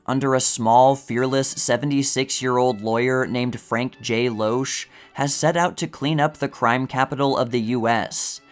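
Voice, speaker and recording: A man narrating a scripted, vintage news-style article in measured, deliberate phrasing. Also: mid-range voice.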